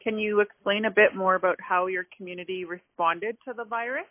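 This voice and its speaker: A woman's voice: mid-range voice, steady tone, a little fast, no room sound. A woman interviewing someone by phone, friendly and informal with a lot of fillers. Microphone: telephone. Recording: tinny phone line.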